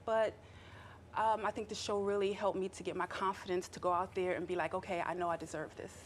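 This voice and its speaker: A woman's voice: fairly low pitch, flat delivery, a little fast, a little hiss or hum. A woman in her forties being interviewed on TV, candid and a little hesitant. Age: 40s. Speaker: reality show guest. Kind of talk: TV interview answers.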